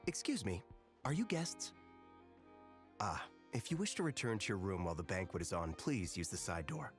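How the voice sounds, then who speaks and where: An actor playing a polite, formal banquet doorman who speaks courteously and deflects requests gently. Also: low-pitched, animated, normal speed. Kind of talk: video game voice acting. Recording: faint game music behind.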